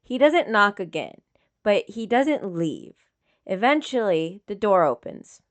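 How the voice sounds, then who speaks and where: Woman narrating fiction at medium pitch. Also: very animated, takes it slowly. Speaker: audiobook narrator.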